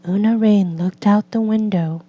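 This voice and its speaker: A woman reading a picture book aloud as a storyteller, in gentle, deliberate phrases with drawn-out pauses. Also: medium pitch, fairly even intonation. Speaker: read-aloud storyteller for children and English learners. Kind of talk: slow scripted picture-book narration. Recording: slightly dull, lo-fi recording.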